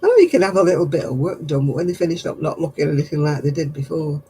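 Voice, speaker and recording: An older woman chatting casually on her craft livestream, answering viewers in a low, husky voice and a rambling, gossipy way. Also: lively intonation, quick pace, dark tone, a little hiss or hum. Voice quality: low, husky voice after throat surgery.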